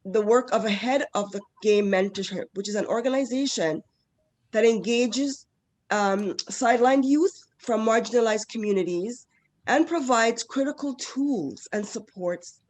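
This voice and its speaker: A woman's voice: a little high, a little slow, darkish tone, rough recording. A woman moderator giving a formal introduction read from notes.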